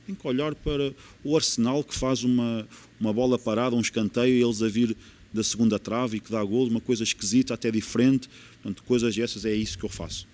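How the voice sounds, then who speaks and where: A man's voice: medium pitch, steady tone, fast talker, darkish tone. A man with a European Portuguese accent, a football coach in a podcast interview, explaining his views earnestly and repeating key phrases for emphasis.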